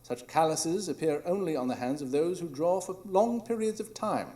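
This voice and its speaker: A man's voice: a little high, lively intonation, normal speed, dark tone, close to an everyday voice. A man playing a brilliant, coolly analytical detective with a formal, precise manner in a live radio drama. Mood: coolly deductive. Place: small improv theatre stage.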